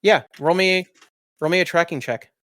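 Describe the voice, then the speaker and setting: Slightly high-voiced man running a tabletop game. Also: moderate pace, a bit bright, no echo, clean studio sound. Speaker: game master. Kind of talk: casual tabletop game narration.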